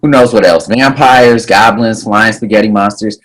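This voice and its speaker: A man voices an anxious teenage sorcerer, dry and sardonic even while worried. He sounds close and plain, like a table-read mic. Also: on the low side, normal speed, poor audio.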